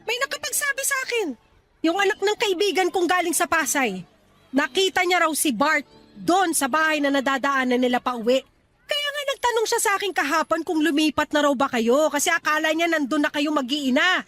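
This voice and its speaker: Actress as blunt older mother, very high voice, scolding, insistent, radio drama. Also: lively intonation, a little fast, a bit bright. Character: blunt, nagging older mother warning her married daughter. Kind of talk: Tagalog radio drama acting.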